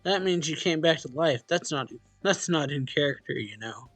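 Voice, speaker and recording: Bright-voiced man reading a visual novel aloud. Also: fairly high pitch, lively intonation, normal speed, breathy edge, no echo, soft background music. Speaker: let's play host.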